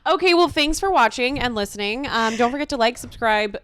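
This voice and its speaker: A woman in her late twenties co-hosting a podcast in casual, teasing banter with a friend, chatty and quick to swear. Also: fairly high pitch, expressive, a bit bright, dry recording. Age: late 20s. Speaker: podcast co-host.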